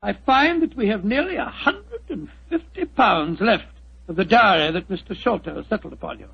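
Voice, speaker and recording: A man's voice: sits high, lively intonation, normal speed, creaky, slightly rough, no room sound, full character voice. An actor playing a genial, bumbling older doctor, who stammers and chuckles as he tells a tale in 1940s radio acting. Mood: flustered. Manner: blustery and stammering, chuckles often. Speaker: narrator of the tale within the play. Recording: dull 1940s radio recording.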